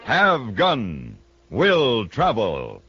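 A man's voice: medium pitch, wildly swooping pitch, very slow. A man with an American accent reading scripted closing credits in a polished, declamatory 1960s radio announcer style. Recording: muffled old broadcast over music.

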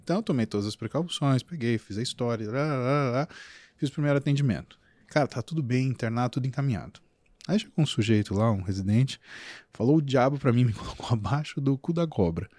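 A man with a Brazilian Portuguese accent, a physician talking on a podcast in an animated, emphatic storytelling way. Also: medium pitch, fast, no echo. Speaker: physician guest. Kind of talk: casual podcast interview.

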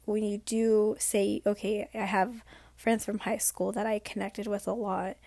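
A young woman who is sick and losing her voice talks through a late-night vlog. Her voice is hoarse and gravelly and at times fades to a whisper, with a flat, even melody. She rambles and reflects, and the sound is dry and close with no room around it. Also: medium pitch, unhurried, dry recording. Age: young adult. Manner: rambling, reflective, many fillers.

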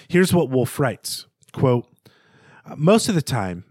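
A man's voice: medium pitch, darkish tone, no room sound. Thoughtful man hosting a podcast, close dry sound. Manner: thoughtful, articulate, hesitant with stammered repeats. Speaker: podcast host. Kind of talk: literary analysis in conversational monologue, with quoted passages.

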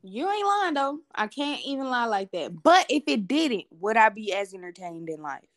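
A playful woman co-hosting a casual podcast. Her voice sits a little high, and she laughs often through slangy, easygoing talk. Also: sing-song, normal speed, no room sound, clean studio sound. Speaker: podcast co-host. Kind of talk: casual chat.

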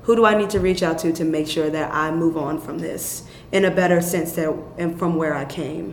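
A young woman from Chicago, an actress, talking animatedly and fast in an interview, often laughing as she tells stories. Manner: animated, quick, laughing storyteller. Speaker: stage actress. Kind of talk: interview conversation. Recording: echoey room with faint rumble.

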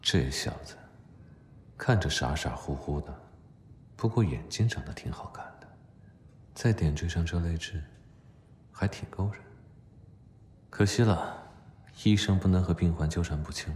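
A man's voice: low voice, unhurried, long pauses, darkish tone, a little hiss or hum. A man playing a curt, stern but privately flirtatious doctor in an audio drama, with a cool, sardonic manner. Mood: amused, intrigued.

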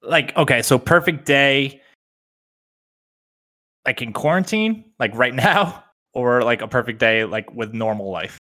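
Bright-voiced young man, easygoing. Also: mid-range voice, expressive, measured pace. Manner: easygoing, chatty. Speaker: podcast co-host. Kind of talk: casual podcast chat.

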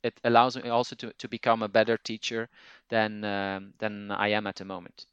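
A man with a Flemish accent, a native Dutch speaker, speaking English as a language teacher and podcaster who explains things and thinks aloud with pauses. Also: fairly low pitch, completely flat delivery, a bit bright, smooth, no room sound, muffled recording. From Belgium. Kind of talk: conversational interview.

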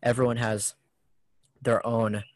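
A man's voice: mid-range voice, flat delivery, slow, dry recording. A man talking in an earnest, reflective way, often ending his thoughts with a questioning "right?". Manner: earnest, ends phrases with "right?". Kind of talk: reflective conversational talk.

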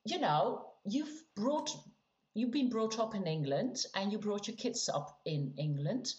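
A woman's voice: medium pitch, lively intonation, a little slow, a little mellow. Chatty woman in an echoey room. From Netherlands. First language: Dutch. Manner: chatty, emphatic, talks in short bursts. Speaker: podcast guest. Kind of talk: casual chat. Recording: echoey, muffled room sound.

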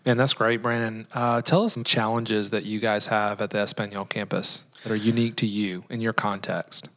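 Low-voiced male podcast co-host. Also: steady tone, normal speed, sharp tone. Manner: encouraging, asks short prompting questions. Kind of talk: friendly interview questions.

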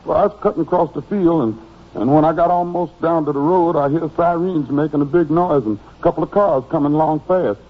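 An actor playing a frightened, weary Southern farmhand suspect in a radio drama, deferential, halting and pleading. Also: male voice, fairly high pitch, close to an everyday voice. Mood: anxious, insistent. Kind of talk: old-time radio drama acting. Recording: muffled old radio recording.

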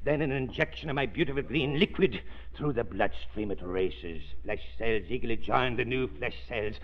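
An actor playing a deranged, gloating mad surgeon with a limp, in theatrical old radio melodrama style. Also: male voice, mid-range voice, normal speed, lightly acted. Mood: gloating, obsessive. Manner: theatrical, gloating, savouring his words. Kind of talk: 1930s radio melodrama acting. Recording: dull, lo-fi old radio recording.

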